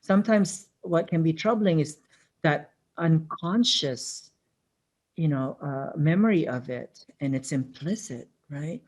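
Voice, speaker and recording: A webinar presenter with a Filipino accent, talking in an earnest, reflective way and often checking in with "right?". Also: male voice, fairly high pitch, slow, a little mellow. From Filipino-American family background. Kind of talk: conversational webinar talk.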